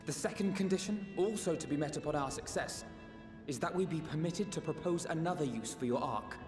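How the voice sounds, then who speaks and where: A man's voice: a little high, fairly quick, lightly acted. A man playing an earnest, courteous young diplomat in a video game, negotiating formally and with resolve. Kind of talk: scripted video game voice acting. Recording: reverberant game audio with loud music.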